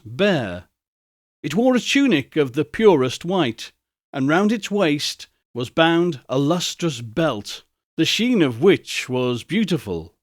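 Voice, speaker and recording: A man narrating a classic tale as a dramatic storyteller, with theatrical, deliberate and emphatic phrasing. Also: on the high side, dry recording, studio quality. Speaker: audiobook narrator.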